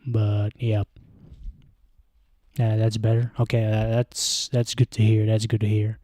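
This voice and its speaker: A man's voice: fairly low pitch, completely flat delivery, measured pace, mellow, no echo, studio quality. A young man with a Bulgarian accent, chatting on a casual live stream, rambling and hesitant, with lots of fillers, into a handheld mic at home. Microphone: handheld microphone close to mouth.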